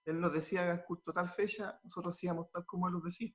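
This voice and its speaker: Earnest pastor with a halting delivery. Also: male voice, sits high, steady tone, fairly bright tone, highs cut off. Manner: earnest, halting with repeated words. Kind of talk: interview answers, turning preachy.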